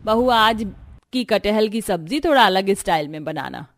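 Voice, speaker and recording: A woman's voice: mid-range voice, animated, normal speed, no room sound, close to an everyday voice. Woman playing pushy middle-aged mother-in-law. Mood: insistent. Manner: insistent, bossy.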